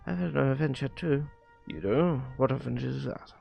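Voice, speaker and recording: A man streaming a visual novel, reading its dialogue aloud haltingly and often stumbling and rereading lines. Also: medium pitch, creaky, breathy edge, dull audio. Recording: music behind the voice. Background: music.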